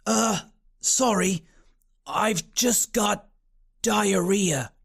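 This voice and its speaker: A man's voice: sits high, drawn-out words, vocal fry, a bit bright, slightly rough, heavily acted. A man voicing a polite, earnest young boy in a storybook reading.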